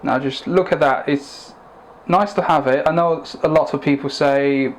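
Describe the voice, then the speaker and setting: Man calmly explaining in a product review. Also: on the high side, moderate pace.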